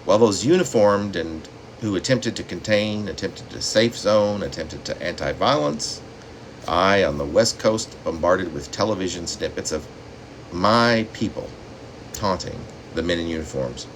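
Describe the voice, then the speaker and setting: Male poet, very deep register, reads in measured dramatic phrases, faint room noise behind him. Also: rough recording. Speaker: poet reading own work. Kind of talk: poetry reading with spoken asides. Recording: steady room noise, air conditioning hum.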